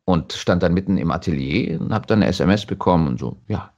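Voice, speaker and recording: Deep-voiced German man, slightly dull sound. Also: animated, fast talker, darkish tone. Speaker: voice actor, interview guest. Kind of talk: reflective interview talk.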